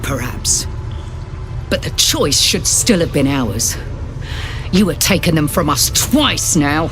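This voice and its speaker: An actress voicing a stern, grieving female monster hunter leader in a video game, speaking in a measured, accusing way. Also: low voice, sing-song, over music, heavily acted.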